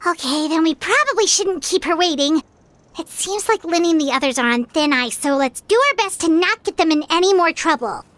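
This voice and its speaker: A woman's voice: lively intonation, brisk, a bit bright. A woman voicing a tiny floating childlike companion in video game dialogue. The character is chatty and easily alarmed, and it speaks of itself in the third person. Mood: worried. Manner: squeaky, refers to herself in the third person.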